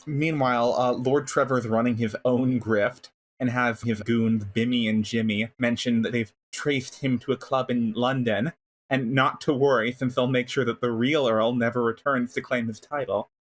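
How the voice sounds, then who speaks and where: A man's voice: medium pitch, steady tone, normal speed. A man co-hosting a podcast, explaining things in a wry, wordy way during a casual recap. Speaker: podcast co-host.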